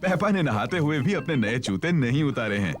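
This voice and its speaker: A man's voice: medium pitch, big pitch swings, normal speed, faint music behind. A man narrating a children's cartoon in a scripted storytelling manner.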